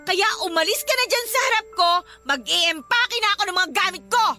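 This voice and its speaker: A woman's voice: very high-pitched, expressive, fairly quick, pushed and bright, full character voice. A woman playing an irritated, defiant young girlfriend in a radio drama, with a snappy, exasperated manner. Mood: cold, exasperated. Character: irritated, defiant young woman fed up with her clingy boyfriend. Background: music.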